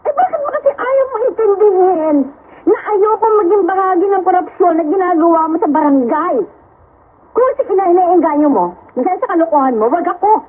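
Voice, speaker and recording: A woman's voice: very high voice, expressive, fairly quick. An actress playing a principled young woman in a radio drama who scolds her corrupt brother, often pleading and tearful. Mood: scolding. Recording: tinny phone-like sound, faint hiss.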